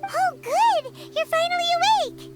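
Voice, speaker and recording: Childlike game fairy, squeaky, excitable, very high-pitched, loud music behind. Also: female voice, unhurried. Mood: excited, cheerful. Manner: exclamatory, refers to herself by name. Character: tiny chirpy childlike floating fairy companion, excitable. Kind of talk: anime video game voice acting.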